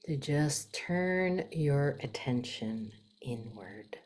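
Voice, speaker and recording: A woman leading a guided meditation in a soft, gentle manner, with long pauses and some breathiness. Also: very deep voice, animated.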